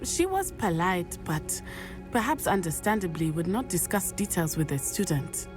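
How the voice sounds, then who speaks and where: Earnest student from matabeleland, formal and careful, faint music. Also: female voice, on the low side, very animated, moderate pace. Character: earnest young female student, determined. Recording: game audio with faint music.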